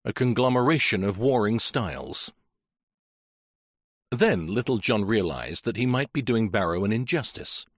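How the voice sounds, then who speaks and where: A man narrating a mystery audiobook in a measured, expressive reading. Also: lowish voice, no room sound, clean studio sound. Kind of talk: scripted story narration.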